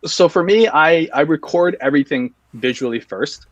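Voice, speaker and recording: A man's voice: fairly high pitch, lively intonation, moderate pace, a bit bright, no echo. A man guesting on an interview, earnestly explaining how he works, step by step. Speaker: guest, video reviewer. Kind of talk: casual interview answers.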